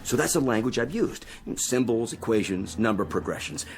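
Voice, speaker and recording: Cocky, animated space technician. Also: male voice, lowish voice, normal speed. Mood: proud, gloating. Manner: boastful, goading, excitable. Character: cocky spaceship technician drunk on godlike power over tiny aliens. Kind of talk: dramatic radio-play acting.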